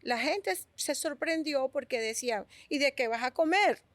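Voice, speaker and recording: Venezuelan woman, middle-aged, higher voice, dry close mic, animated storyteller with many restarts. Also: moderate pace, no echo. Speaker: guest sculptor. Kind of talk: conversational storytelling.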